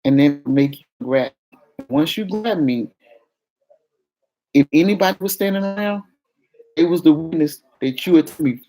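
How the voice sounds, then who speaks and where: A woman with a fairly deep voice that carries well talks to her viewers. She is frank and confessional in a loose, casual vlog style, and she calls her audience "y'all". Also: lively intonation, moderate pace, pauses often, warm tone, rough recording. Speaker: vlogger. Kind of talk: candid casual talk to viewers.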